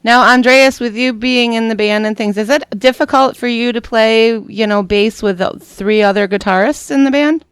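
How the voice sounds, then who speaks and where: Smooth-voiced woman hosting a dry close-mic interview. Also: fairly high pitch, normal speed, hardly any pauses, no room sound. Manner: relaxed, chatty questions. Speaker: radio show host. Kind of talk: casual interview.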